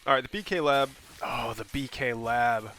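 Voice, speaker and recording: Bright-voiced male caster with a cold. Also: medium pitch, normal speed, slight background noise. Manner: casual, rambling, with frequent ums and self-corrections; bursts of excitement at plays. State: stuffy, scratchy voice from a cold. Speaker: game caster. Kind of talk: solo replay commentary.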